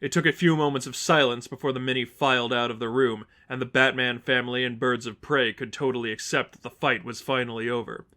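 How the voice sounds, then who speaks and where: A man's voice: medium pitch, steady tone, brisk, few pauses, sharp tone. A man reading scripted fiction as a narrator, in a storytelling style.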